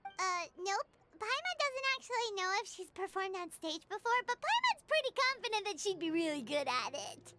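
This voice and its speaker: A woman voicing a small, excitable female sprite companion in a video game who talks about herself in the third person. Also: very high voice, normal speed, very noisy. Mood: chirpy. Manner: excitable, refers to herself by name. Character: tiny floating female companion sprite, chirpy and eager. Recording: game audio with loud music behind.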